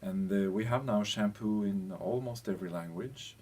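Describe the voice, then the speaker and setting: A European man giving an informal explanatory lecture as a teacher, speaking in halting phrases with pauses and a husky voice. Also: lowish voice, darkish tone, slight background noise.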